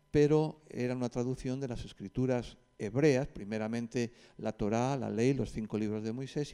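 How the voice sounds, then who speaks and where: A man with an accent from Spain, lecturing informally to a group in a didactic, conversational way with hesitant pauses and asides. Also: mid-range voice, dark tone, clear, resonant tone.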